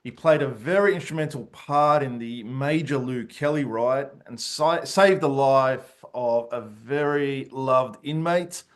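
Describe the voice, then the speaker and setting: Male podcast host, mid-pitch, keen interviewer, reads his intros. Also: unhurried, hardly any pauses. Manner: enthusiastic, with a scripted introduction. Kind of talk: friendly interview hosting.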